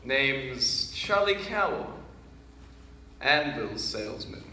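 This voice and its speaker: A man playing a blustering, hot-tempered traveling salesman who talks in a folksy, fast way. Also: mid-range voice, sing-song, heavy background noise. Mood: indignant. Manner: folksy, fast-talking, indignant. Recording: roomy old film soundtrack.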